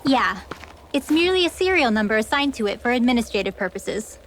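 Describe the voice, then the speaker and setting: An actress playing a friendly, confident young mage and guide in a video game, explaining things readily. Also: female voice, a little high, big pitch swings, fairly quick, a little hiss or hum. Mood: informative.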